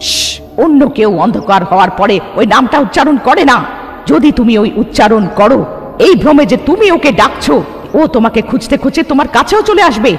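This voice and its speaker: Man voicing a high-pitched, ominous village aunt. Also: animated, a little fast. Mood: ominous warning. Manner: ominous, forbidding. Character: stern old village aunt giving grim warnings. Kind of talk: animated horror cartoon acting. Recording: long hall reverb over music.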